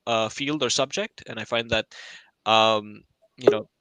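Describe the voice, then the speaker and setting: A man on a panel explaining his thoughts casually, in a wordy, tentative and halting way. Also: lowish voice, steady tone, sharp tone, no room sound. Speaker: podcast panelist.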